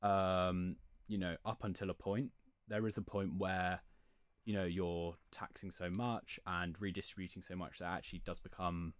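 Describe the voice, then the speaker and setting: Male discussion guest, deep voice, measured and tentative, conversational explainer, very dry recording. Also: flat delivery, dry recording. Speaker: podcast guest.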